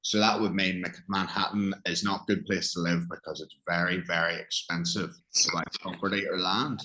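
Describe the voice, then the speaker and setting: A man's voice: low-pitched, steady tone, moderate pace, sharp tone, low-quality recording. A man with a Northern Irish accent teaching English one-on-one, explaining patiently with examples and questions. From Northern Ireland.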